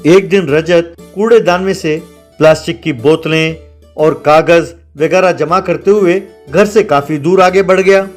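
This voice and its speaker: Higher-voiced man narrating a children's story. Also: a little slow, soft background music.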